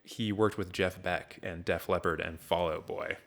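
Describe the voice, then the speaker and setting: A man's voice: sits low, fairly even intonation, moderate pace. A man co-hosting a casual film discussion podcast, talking in a wry, tongue-in-cheek way.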